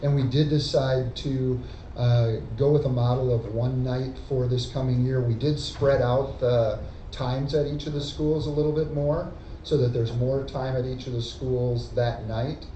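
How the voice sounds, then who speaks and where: A man administrator calmly answering a question at a school board meeting. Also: mid-range voice, a little slow, slight background noise. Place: meeting room. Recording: distant, dull echoey recording.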